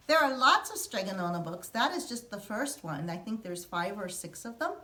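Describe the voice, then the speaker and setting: A woman teacher reading a picture book aloud to children in an expressive storytelling manner. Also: fairly low pitch. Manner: expressive, sing-song storytelling.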